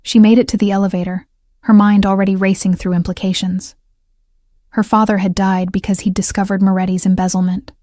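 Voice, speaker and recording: A woman narrating a dramatic crime story in an even, measured reading. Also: mid-range voice, dry recording, clean studio sound.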